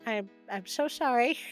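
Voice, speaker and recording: High-voiced woman storyteller over music. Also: lively intonation, slow. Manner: playful, wry commentary. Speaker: host of a storytelling show. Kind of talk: storybook reading with joking asides. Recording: music bed under the voice.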